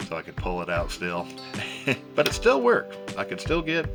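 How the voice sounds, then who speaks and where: A man's voice: deep voice, huge pitch swings, moderate pace, vocal fry, over music. A middle-aged man giving a casual, folksy vlog demonstration as the host of a vintage gadget channel. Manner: folksy, chuckles often.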